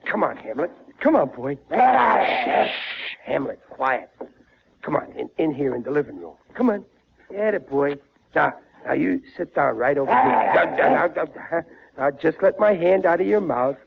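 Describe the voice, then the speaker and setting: An actor playing a bumbling, excitable American working-class father in 1940s radio comedy, stammering and repeating his words. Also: on the high side, normal speed, creaky. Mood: coaxing. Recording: muffled, noisy vintage radio broadcast.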